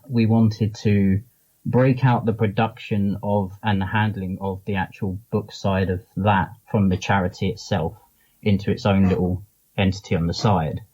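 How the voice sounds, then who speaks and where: A man's voice: on the low side, warm tone, lo-fi sound. A man being interviewed as a guest, talking casually and informally in loose, rambling explanations. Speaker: interview guest, comics charity founder and publisher.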